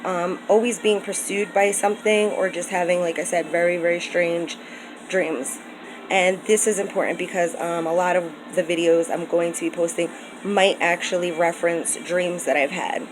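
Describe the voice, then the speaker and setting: An earnest woman from the United States speaks to her viewers in a low-leaning voice, circling back with ums and restarts. Her talk is loose and personal, and a faint hiss sits under the recording. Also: fairly even intonation, moderate pace. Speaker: vlogger. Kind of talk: casual personal vlog talk.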